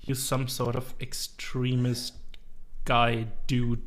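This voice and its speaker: A man co-hosting a casual tabletop-gaming podcast, easygoing and quick to agree and chime in. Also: mid-range voice, monotone. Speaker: podcast co-host. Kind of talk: casual chat. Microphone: webcam setup, home recording.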